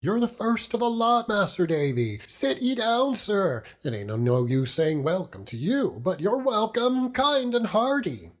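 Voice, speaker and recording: A man's voice: sits high, sing-song, measured pace, few pauses, voice pushed up, full character voice. A man voicing a doting, simple old fisherman with a rural English dialect in an audiobook. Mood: hearty welcome. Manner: rambling, folksy, chuckling warmly. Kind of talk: audiobook character acting.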